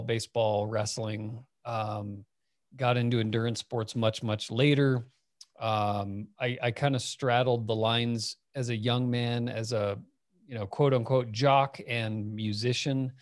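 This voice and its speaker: Relaxed man rambling through stories. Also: mid-range voice, measured pace, no room sound, clean studio sound. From Phoenix, Arizona. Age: 40s. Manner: relaxed, rambling, many fillers. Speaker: guest, company founder. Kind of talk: casual storytelling interview. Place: home office. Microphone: headset over video call.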